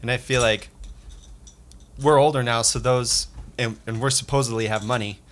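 A man's voice: mid-range voice, fairly even intonation, moderate pace, a bit bright. A man in his forties in a casual group chat, explaining his views at length in a reflective, wry way. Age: 40s.

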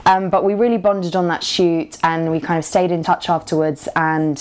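A woman's voice: lowish voice, fairly even intonation, normal speed, darkish tone, slight background noise. A woman actor chatting as an interview guest, rambling and giggling, with lots of fillers. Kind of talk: casual interview chat.

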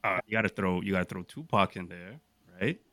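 A man's voice: deep voice, expressive, brisk, a bit bright, no echo. A man in casual podcast debate, insistent and often repeating himself to make his point. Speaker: podcast panelist.

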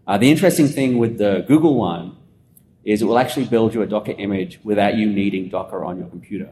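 Deep-voiced Australian man, echoey room. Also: fast talker. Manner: relaxed, rambling explainer with many fillers. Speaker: guest. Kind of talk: casual conversational interview. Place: conference venue. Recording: echoey room with faint rumble.

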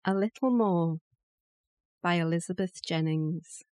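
A woman reciting a poem in a measured manner, pausing between short phrases. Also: fairly low pitch, darkish tone, no room sound. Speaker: poetry reader. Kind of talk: poetry recitation.

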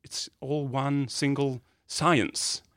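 Italian guy, mid-pitched voice, dry studio sound, chatting about science, pauses between phrases. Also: fairly even intonation, fairly bright tone, no room sound. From northern Italy. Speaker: guest physicist. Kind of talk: podcast interview, explaining science conversationally. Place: podcast studio.

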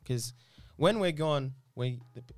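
A man's voice: medium pitch, animated, unhurried, dry recording. Guy from uganda rambling in an interview, repeats himself a lot, dry sound. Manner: rambling, repeats words, says "you know" often. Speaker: guest. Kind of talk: casual interview chat.

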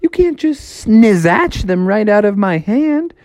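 A bossy snow woman with a shrill, squealing voice that leaps up high and swoops around. She is proud and grabby, with a cackle never far off. The sound is close and dry, with no room around it. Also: sing-song, a little slow, a little mellow, dry recording. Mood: indignant. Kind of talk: improvised bedtime storytelling.

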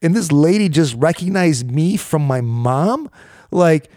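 A man's voice: fairly high pitch, a little slow, no echo, studio quality. A man in his thirties from the United States, telling stories as a podcast guest in casual chat, slangy and full of fillers. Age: 30s. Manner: animated storyteller, slangy, many fillers.

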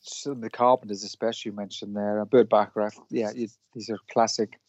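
A man from Wales, a musician guest, answering in a halting way with many restarts. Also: lowish voice, flat delivery, darkish tone, dry recording. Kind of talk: casual interview chat. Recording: dull dry lo-fi line.

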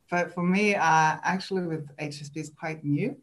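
A woman from Sweden with a Swedish accent, speaking English as a second language as a podcast guest. She talks reflectively and haltingly, with restarts and small laughs. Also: deep voice. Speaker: guest, coach. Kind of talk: interview.

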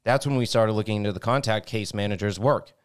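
A man co-hosting a podcast, reading a news article aloud haltingly, stumbling and restarting words, then adding indignant commentary. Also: on the low side, clear, resonant tone. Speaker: podcast co-host.

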